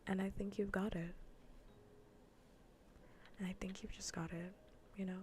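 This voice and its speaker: A woman whispering softly and breathily in an ASMR gaming stream, playfully bossy and dryly sarcastic, close to the microphone. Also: mid-range voice, level tone, a little slow, a little hiss or hum. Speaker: livestreamer.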